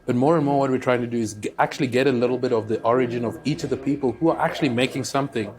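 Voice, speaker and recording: Relaxed South African man hosting. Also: mid-range voice, very fast. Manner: relaxed, musing, frequent agreeing backchannel. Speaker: podcast host. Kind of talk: conversational interview. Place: conference.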